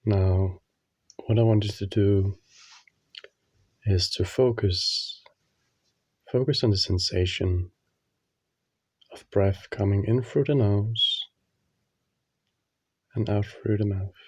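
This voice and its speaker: A man leading a guided meditation, speaking calmly and soothingly with long pauses. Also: fairly low pitch, slightly rough, dry recording. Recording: dull, muffled lo-fi audio.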